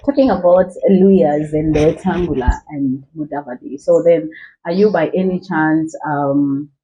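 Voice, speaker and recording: A man's voice: on the high side, a little slow, warm tone, dull audio. A man with a Kenyan accent putting questions as an interviewer in a political interview.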